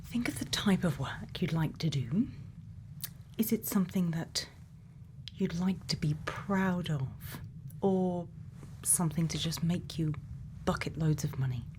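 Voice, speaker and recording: Woman playing a slow, hushed, kindly ASMR scientist. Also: lowish voice, background noise, lightly acted. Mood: gently curious. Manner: slow, soothing, pausing, reassuring. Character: gentle female scientist, quietly kind, programming a robot friend. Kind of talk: soft-spoken ASMR roleplay, often whispered.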